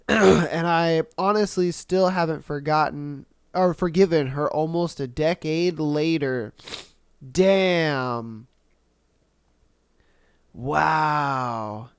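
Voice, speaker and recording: A fairly high, smooth male voice, a little nasal and congested from a cold as he reads listener stories aloud. He reacts in loose, slangy, animated bursts, and the dry close-mic sound keeps him right up front. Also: takes it slowly, no room sound. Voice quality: slightly nasal. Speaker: podcast host. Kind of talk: casual commentary reading listener posts.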